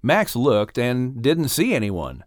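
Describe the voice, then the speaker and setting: A man narrating a children's audiobook in an expressive, dramatic and carefully phrased storytelling style. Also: medium pitch, takes it slowly, no room sound, clean studio sound.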